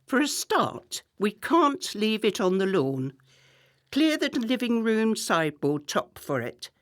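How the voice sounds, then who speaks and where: Imperious, swooping society wife. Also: female voice, on the high side, big pitch swings, takes it slowly, dry recording. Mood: bossy. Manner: theatrical, commanding, effusive. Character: imperious, gushing well-to-do wife and party hostess. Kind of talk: radio play acting.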